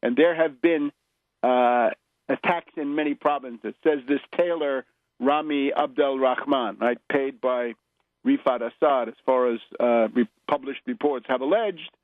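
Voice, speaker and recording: A man giving political commentary as a radio host, sardonic and emphatic, halting now and then for rhetorical asides. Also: medium pitch, dry recording, phone-quality sound. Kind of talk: political news commentary, partly improvised.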